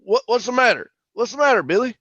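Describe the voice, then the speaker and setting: A man's voice: extremely high pitch, very animated, fast talker, fairly bright tone, dry recording, highs cut off, full character voice. An actor playing a folksy, talkative oil-rig worker who tells his eerie tale in colloquial radio horror drama style. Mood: startled. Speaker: actor and narrator. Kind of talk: old-time radio horror drama acting and first-person narration.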